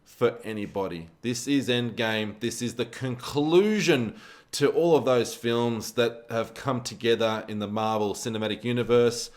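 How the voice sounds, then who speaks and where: Male vlog-style movie reviewer, average pitch, chatty conversational delivery with repeated words for emphasis. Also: moderate pace. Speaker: film reviewer. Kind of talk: casual spoken movie review to camera.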